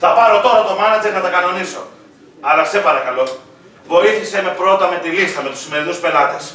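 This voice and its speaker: A man's voice: high voice, pushed and bright, heavy reverb, distant mic, slight background noise, low-quality recording. A man playing a sweet-talking nightclub owner husband in a comedy sketch. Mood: coaxing.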